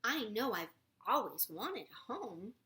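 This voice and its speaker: A woman plays a toy teddy bear in a children's storybook. He is gentle and full of wonder, speaking wistfully with expressive rises and falls. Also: on the high side, takes it slowly, exaggerated voice.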